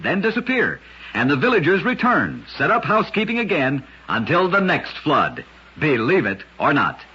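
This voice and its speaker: Husky guy doing old time radio storytelling, bright and punchy, kinda dull lofi recording. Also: fairly high pitch. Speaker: radio program narrator.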